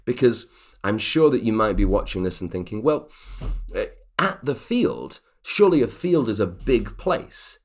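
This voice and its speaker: A man's voice: fairly low pitch, big pitch swings, normal speed, darkish tone. A man teaching an online English grammar lesson, explaining patiently and checking in with the viewer as he goes.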